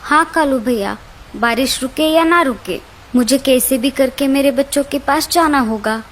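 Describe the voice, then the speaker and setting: A woman's voice: high-pitched, a little slow, a little hiss or hum. A woman voicing a worried but brave mother sparrow in an earnest, emotive way for a children's cartoon story.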